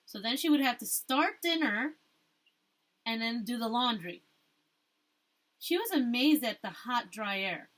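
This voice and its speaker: A woman teacher reading a storybook aloud, rereading stumbled phrases and pausing to explain things to her listeners. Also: on the high side, animated, fairly bright tone.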